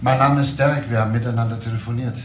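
A man playing a courteous, probing homicide detective in a crime drama, speaking in a measured, polite way. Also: medium pitch, steady tone, noisy background, close to an everyday voice. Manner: measured, polite, hesitant at times. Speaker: actor. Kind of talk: German TV crime drama dialogue.

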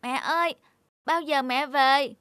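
A high, plaintive little cartoon girl. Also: slow, pushed and bright, dry recording, studio quality, full character voice. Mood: pleading. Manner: plaintive, insistent, childlike. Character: upset little girl longing for her mother. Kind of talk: cartoon voice acting.

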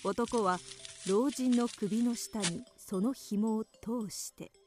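High-voiced woman narrating over music. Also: takes it slowly. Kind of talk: scripted narration of a Japanese radio drama horror story.

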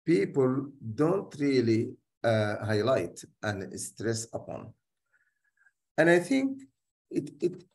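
A man's voice: medium pitch, a little mellow. A man working as a doctor and community leader, whose first language is Arabic, speaking in a hesitant way with many restarts in a casual discussion.

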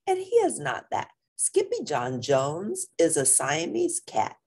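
Worried cat mama for a picture book, acted by a woman: exasperated wails, slow scolding, slightly husky. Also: deep voice, huge pitch swings, darkish tone, heavily acted. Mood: frazzled. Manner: wailing, groaning, exclamatory delivery. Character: frazzled, worried mother Siamese cat, scolding.

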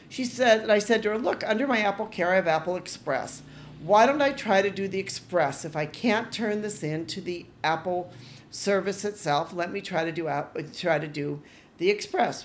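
A woman's voice: mid-range voice, slight background noise. Woman podcast host telling a story. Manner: animated, exasperated retelling with quoted dialogue. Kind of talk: casual solo podcast storytelling.

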